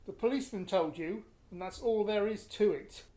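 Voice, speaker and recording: An actress playing a blunt, weary but loving partner in a radio drama. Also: female voice, mid-range voice, moderate pace, dark tone, lightly acted. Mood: stern. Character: weary, exasperated, loving long-term partner.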